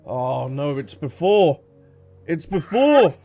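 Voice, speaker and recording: A man's voice: on the high side, very animated, takes it slowly, music in the background. A man giving casual, reactive commentary as he plays through a game's story. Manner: chatty, reactive, exclamatory. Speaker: game streamer reacting to story dialogue.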